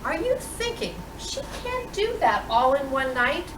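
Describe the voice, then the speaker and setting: Lady reading a kids book out loud, really swoopy expressive voice w dramatic pauses, noisy background. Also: high-pitched. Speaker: storytime reader playing Mrs. Claus. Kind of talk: reading a children's picture book aloud. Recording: roomy, distant microphone with clear background noise.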